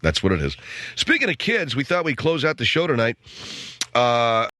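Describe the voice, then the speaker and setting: A man's voice: medium pitch, wildly swooping pitch, moderate pace. An American man hosting casual, rambling talk radio with a wry, grumbling, sardonic manner. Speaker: radio talk show host. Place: radio studio. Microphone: close studio microphone.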